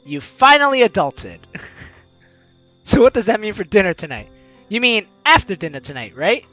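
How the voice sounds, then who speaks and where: A video game host with an animated, teasing, exclamatory way of talking, reading aloud and commenting playfully. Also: medium pitch, normal speed, dull audio, faint music behind. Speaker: let's-play video host.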